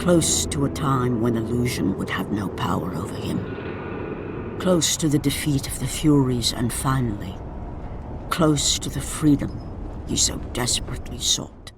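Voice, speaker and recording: An actor narrating a video game as a solemn storyteller, dramatic and deliberate. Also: male voice, medium pitch, expressive, music in the background. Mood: hushed, ominous. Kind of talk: scripted epic game narration.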